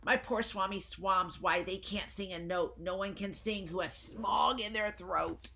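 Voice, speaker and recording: A woman voicing a small, scolding male forest creature, loud and indignant as she reads a storybook aloud. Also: mid-range voice, a little slow, vocal fry, a little hiss or hum.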